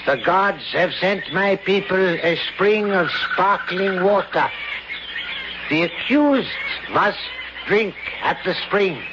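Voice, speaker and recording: High, solemn believer in gods. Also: male voice, animated. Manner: solemn, halting, deliberate. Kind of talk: 1970s radio drama acting. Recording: dull, noisy vintage radio recording.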